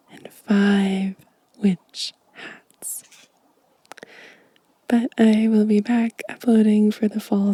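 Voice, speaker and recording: A woman speaking in a soft, breathy whisper, gently and intimately, as in an ASMR show and tell close to the microphone. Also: mid-range voice, no echo. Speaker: host of an ASMR channel.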